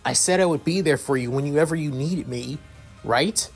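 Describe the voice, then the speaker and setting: A man voicing a smug, protective older brother who speaks calmly. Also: fairly high pitch, normal speed, faint music behind. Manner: smug, calm reassurance.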